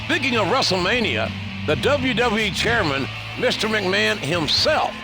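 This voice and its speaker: A man's voice: sits high, very animated, normal speed, music in the background, poor audio. A man playing a hyped American wrestling show announcer in a video game, presenting to viewers. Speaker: wrestling broadcast host.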